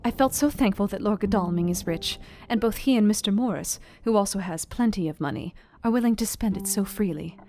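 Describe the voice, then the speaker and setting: A woman's voice: mid-range voice, brisk, hardly any pauses, a little mellow, close to an everyday voice. A woman playing a brave, devoted young Victorian wife, reading her journal in an earnest, formal manner for a radio play. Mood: grateful, admiring. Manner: earnest, heartfelt, formal old-fashioned phrasing. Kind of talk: dramatized diary narration in a radio play.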